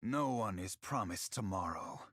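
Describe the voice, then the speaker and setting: A man's voice: low-pitched, expressive, unhurried, a bit bright, dry recording, clean studio sound, exaggerated voice. An actor voicing a weary, honor-haunted male swordsman from a video game, with terse, solemn and wry delivery. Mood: weary, brooding. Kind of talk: video game character voice lines.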